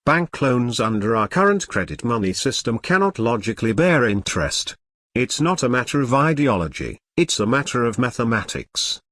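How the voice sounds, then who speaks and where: A man reads scripted explainer narration with a lively, rising and falling melody. He sounds formal and earnest, like he is teaching. The sound is dry, with very little room. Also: medium pitch, moderate pace, no echo.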